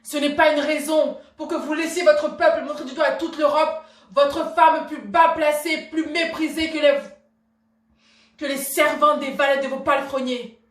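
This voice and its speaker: A woman's voice: fairly high pitch, fairly even intonation, slow, long pauses. An actress playing a proud, outraged Renaissance noblewoman in a theatrical monologue, imperious and declamatory in her formal address. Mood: furious, declaiming. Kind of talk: theatrical classical stage monologue. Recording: echoey room, distant microphone.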